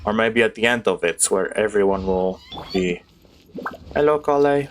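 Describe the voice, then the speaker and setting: A man's voice: on the low side, lively intonation, moderate pace, a little hiss or hum. A man giving casual, informal gameplay commentary as a streamer, using slangy speech. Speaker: video game streamer.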